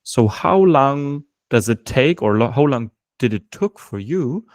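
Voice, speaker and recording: A man's voice: mid-range voice, expressive, a little slow, no echo, clean studio sound. A man hosting a podcast interview, who gives short acknowledgements and asks long, winding questions. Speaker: podcast host.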